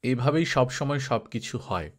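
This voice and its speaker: A man voicing a wise old king who teaches calmly in an audiobook. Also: medium pitch, a little slow. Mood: calm assurance. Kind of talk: audiobook dialogue.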